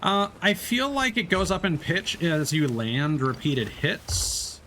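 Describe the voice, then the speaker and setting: A man's voice: on the high side, very animated, slow pace, sharp tone, slight background noise. A man streaming a game, chatting casually and explaining as he plays, with lots of fillers and restarts. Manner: rambling, many fillers and restarts. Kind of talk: casual gameplay commentary.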